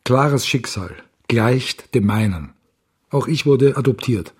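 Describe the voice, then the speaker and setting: An actor playing a stubborn, embittered suspect in a radio crime drama, with a husky, breathy voice. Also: male voice, medium pitch, measured pace, a little mellow. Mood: bitter, insistent. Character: stubborn, embittered male suspect, wounded adoptive father.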